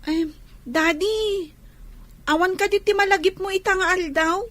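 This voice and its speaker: Casting: woman to play a worried little kid, piping high and bright, Ilocano Filipino accent, radio play. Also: fairly even intonation, measured pace, no echo. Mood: let down. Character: young child anxious about absent father, devoted to mother. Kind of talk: Filipino radio comedy-drama acting.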